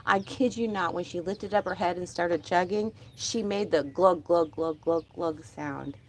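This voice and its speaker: A woman chatting casually on a live stream from her chicken coop outdoors, talking to her birds, with a calm, disinterested-sounding voice. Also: fairly low pitch, unhurried. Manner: chatty, laughs often, talks to her birds. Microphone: phone held in hand.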